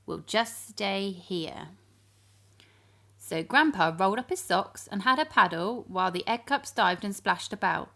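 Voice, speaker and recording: Woman reading a kids storybook, animated n expressive, talking right to the little ones, medium pitch. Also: moderate pace, hardly any pauses. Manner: animated, with questions to young listeners. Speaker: children's story reader. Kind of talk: expressive storybook narration for young children.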